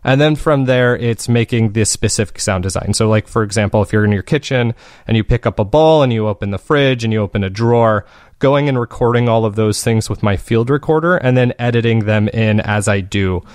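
Male podcast creator, lowish pitch, dry sound. Conversational interview guest, thoughtful explainer with casual phrasing. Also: fairly quick, darkish tone, dry recording.

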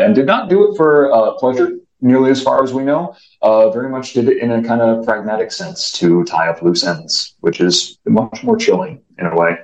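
Deep man in a roomy space. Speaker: actor guest. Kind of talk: explanatory interview answer. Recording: muffled, roomy sound.

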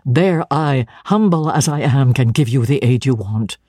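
A woman's voice: extremely low pitch, lively intonation, measured pace, dark tone, breathy edge, no echo, studio quality, pitched below the performer's own voice, full character voice. A woman voicing a stern, zealous young clergyman who speaks with solemn, commanding deliberation. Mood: insistent.

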